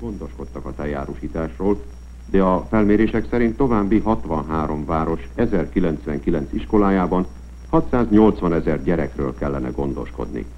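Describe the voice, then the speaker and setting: A man's voice: deep voice, expressive, fairly quick, highs cut off. A man reads formal scripted radio news into a studio microphone, captured on old film. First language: Hungarian. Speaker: radio newscaster. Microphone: studio broadcast microphone. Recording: thin, band-limited old film sound.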